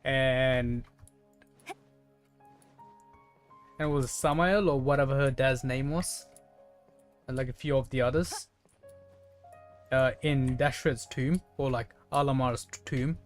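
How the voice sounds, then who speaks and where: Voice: medium pitch, steady tone, a little slow, pauses often, soft background music. A game streamer giving casual gameplay commentary in a rambling, thinking-aloud way. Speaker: game livestreamer.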